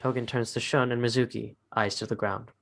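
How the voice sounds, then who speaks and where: A man's voice: mid-range voice, fairly even intonation, brisk, vocal fry. A man reading stage directions as the narrator of a scripted drama.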